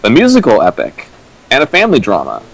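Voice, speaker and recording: Guy with a normal mid voice chatting opinions, kinda halting, gives examples. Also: mid-range voice, wildly swooping pitch, gravelly, low-quality recording. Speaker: co-commentator. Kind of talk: casual opinionated discussion of storytelling.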